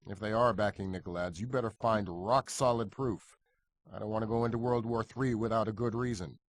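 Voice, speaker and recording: An actor playing a sober spymaster briefing an agent in a video game cutscene, measured and matter-of-fact. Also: male voice, lowish voice, steady tone, no room sound, close to an everyday voice. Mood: businesslike.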